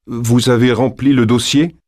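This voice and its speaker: A man's voice: mid-range voice, level tone, normal speed, a little mellow, no echo, studio quality, natural voice. A man playing a businesslike registration clerk in a scripted exam dialogue. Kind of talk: acted language-exam dialogue.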